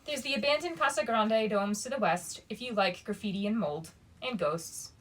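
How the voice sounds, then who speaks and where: A woman reading the part of a tough but kind-hearted teenage girl in a storybook, soft-spoken, wry and understated. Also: fairly high pitch, moderate pace, slight background noise, lightly acted, rough recording. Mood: wry, friendly. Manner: understated, softly spoken, shrugging.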